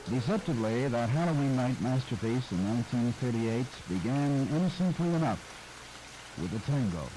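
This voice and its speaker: A man giving scripted documentary narration in a measured, articulate manner. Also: medium pitch, lively intonation, background noise.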